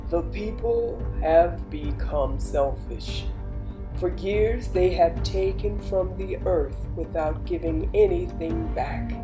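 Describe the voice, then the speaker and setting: A woman voicing a grave male shaman who addresses his people in a deliberate, declamatory storybook manner. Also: fairly low pitch. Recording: music behind the voice.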